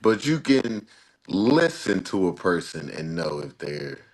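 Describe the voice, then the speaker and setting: A man chatting casually as a guest, laid-back, telling stories in a drawn-out way. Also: low-pitched, sing-song, low-quality recording. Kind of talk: casual chat.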